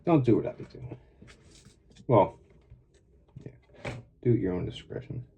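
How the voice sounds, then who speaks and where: A man's voice: fairly low pitch, sing-song, unhurried, vocal fry, narrow, tinny audio, slight background noise. A man with a stuffy voice from a cold, talking casually and muttering to himself as he builds a small model truck. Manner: mutters to himself while working. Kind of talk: casual build vlog talk.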